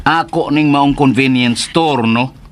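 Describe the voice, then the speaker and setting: An actor playing an arrogant, strict and hot-tempered store owner in a Filipino radio drama, with a Filipino accent and a haughty, confrontational manner. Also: male voice, medium pitch, measured pace, lightly acted. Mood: angry, indignant.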